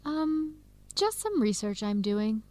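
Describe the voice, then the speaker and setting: A friendly young American woman student in an educational radio drama. Her voice sits slightly high, and she talks in an easy, conversational way with an American accent. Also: very animated, slow, mellow. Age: young adult.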